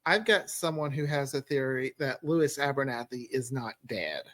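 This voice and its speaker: A man's voice: mid-range voice, animated, moderate pace, fairly bright tone, dry recording. A man chatting casually as a show creator on a panel, often breaking off and correcting himself. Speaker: audio drama creator, panelist. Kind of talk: casual chat.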